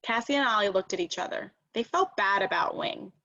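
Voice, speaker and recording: A woman reading a children's storybook aloud as narrator, expressive and emphatic. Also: medium pitch, a little fast, gravelly, muffled recording. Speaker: storybook reader.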